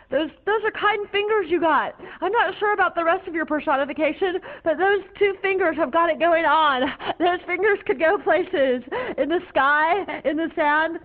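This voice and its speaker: A woman playing a high, paranoid young raver rambling dreamily to police officers, her voice tinny and lo-fi. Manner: dreamy, babbling, whimpering. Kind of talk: comic stage monologue. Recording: tinny phone-like lo-fi recording.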